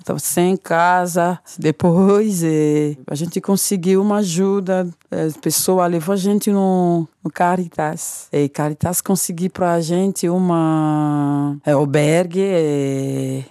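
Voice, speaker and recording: A woman in her forties from Kinshasa, Congo, with a Congolese French accent. French is her first language, and she pauses to search for words as she tells her own story in an interview. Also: lowish voice, steady tone, mellow, no echo, studio quality. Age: 40s. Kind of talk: personal testimony.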